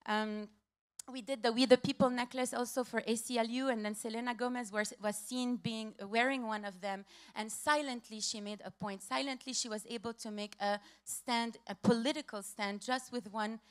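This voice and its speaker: A woman in her thirties from Lebanon, giving a hurried, passionate conference talk from a stage with slides, repeating key phrases. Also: fairly high pitch, steady tone, clean tone. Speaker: conference speaker.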